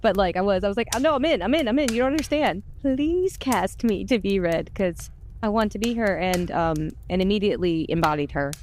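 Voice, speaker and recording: A woman's voice: mid-range voice, expressive, a little fast, a little mellow, slight background noise. A woman chatting casually and telling personal stories in a candid, self-deprecating way. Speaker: podcast voice actor. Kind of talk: casual chat, personal storytelling.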